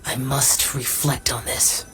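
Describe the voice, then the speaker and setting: A stern, aloof immortal warrior from a video game acted by a man. He speaks with solemn restraint and weary formality, as music plays clearly behind. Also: mid-range voice, flat delivery, takes it slowly, lightly acted. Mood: resigned sorrow. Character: stern, aloof, world-weary young-sounding immortal male demon slayer.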